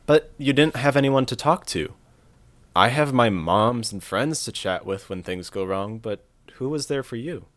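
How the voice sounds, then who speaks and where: A young actor playing a dorky, soft-hearted, melodramatic guy in a romantic comedy audio drama. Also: medium pitch, lively intonation, a little slow, no echo. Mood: apologetic. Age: young adult. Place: bedroom. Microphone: close desk mic with pop filter.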